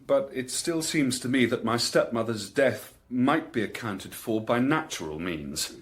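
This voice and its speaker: A male actor plays a hesitant gentleman testifying in a radio drama. His mid-pitched voice moves widely up and down. He sounds anxious and deferential as he argues his case. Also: expressive, measured pace, close to an everyday voice. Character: hesitant English gentleman, anxious stepson giving evidence.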